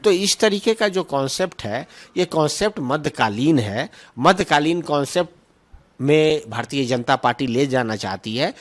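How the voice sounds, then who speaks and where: A man with a moderately high-pitched voice speaks as a political spokesman. He answers questions argumentatively, hammering points through repeated phrasing. English terms are mixed freely into his speech. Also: lively intonation, normal speed.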